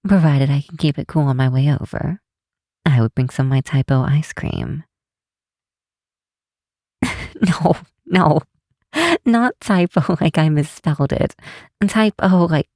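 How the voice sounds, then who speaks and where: An affectionate, bookish vampire researcher, played by a woman for an ASMR roleplay. The voice is mellow and very close and dry, with no room sound. The delivery is slow, tender and lightly teasing, with thoughtful hesitations. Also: low voice, no room sound. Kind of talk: ASMR roleplay, one side of a late-night phone call.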